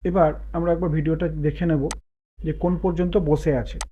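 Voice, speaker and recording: A man hosting a step-by-step software tutorial in voice-over. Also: on the high side, little pitch movement, normal speed, phone-quality sound, slight background noise. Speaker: tutorial host.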